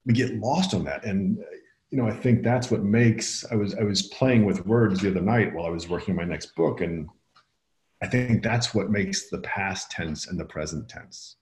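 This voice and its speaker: A man with a very low voice talks through his ideas in a reflective, earnest way. He is a guest author on a conversational podcast. His voice rings with the echo of the room around him. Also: moderate pace, a little mellow. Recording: echoey room.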